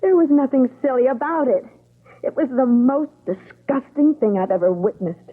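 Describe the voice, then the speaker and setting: A woman's voice: sits high, moderate pace, slightly rough. An actress playing a bitter, wounded young woman in an old-time radio drama, speaking with earnest, resigned drama. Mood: bitter, hurt. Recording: thin phone-like old radio recording.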